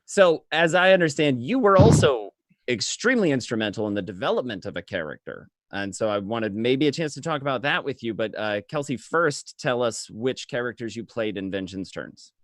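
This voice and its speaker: A man's voice: medium pitch, sing-song, normal speed, no echo. Man hosting a panel, dry close sound. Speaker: host of a cast Q&A panel. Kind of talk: conversational interviewing. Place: indoors on a group video call. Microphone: video call.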